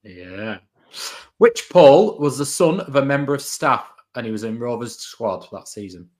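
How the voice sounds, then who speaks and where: A man's voice: medium pitch, lively intonation, a little slow, darkish tone. A man co-hosting a casual podcast, asking quiz questions with dry jokes. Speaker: podcast co-host.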